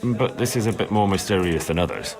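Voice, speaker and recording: A man's voice: low-pitched, fairly quick, darkish tone. An actor playing a dogged young medieval village man in a video game, plain-spoken, earnest and insistent. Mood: curious, probing. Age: young adult. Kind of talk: video game cutscene acting.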